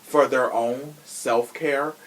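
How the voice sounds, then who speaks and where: A man's voice: medium pitch, fairly even intonation, slow pace, poor audio. A man hosting a podcast in a casual chat, with a sassy, slangy and opinionated way of talking. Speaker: podcast host.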